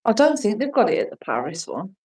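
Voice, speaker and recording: A woman's voice: medium pitch, a little fast, recorded from a distance. A woman in her forties in casual, rambling chat, talking eagerly and jumping between thoughts. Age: 40s. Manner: chatty, rambling, excitable.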